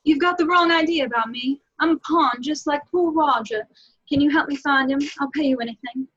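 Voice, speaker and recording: A woman playing a sultry, pleading cartoon femme fatale in an amateur table read of a film script, with a breathy delivery. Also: sits high, a little fast, fairly bright tone. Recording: dull, lo-fi home recording.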